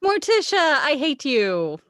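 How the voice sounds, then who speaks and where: A woman's voice: sits high, big pitch swings, takes it slowly, fairly bright tone, exaggerated voice. A woman acting a jealous, flirtatious, slightly mad middle-aged twin, theatrical and drawn out, in a script table read.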